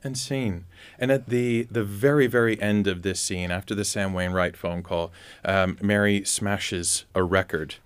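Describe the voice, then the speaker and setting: A man's voice: on the low side, fairly even intonation, unhurried, clear, resonant tone. A man hosting a conversational interview, asking inquisitive questions with some hesitant restarts. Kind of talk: conversational radio-style interview.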